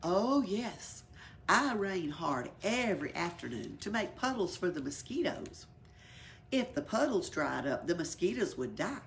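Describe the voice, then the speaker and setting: Woman voicing Rain, breathy and dutiful. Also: lowish voice, sing-song, a little slow, slight background noise. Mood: earnest, explaining. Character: rain, an earnest, dutiful spirit of weather.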